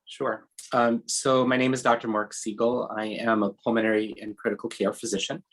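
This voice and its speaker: A man in his fifties from New York, a physician, answering interview questions in a measured way. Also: mid-range voice, level tone, rough recording. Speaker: physician guest.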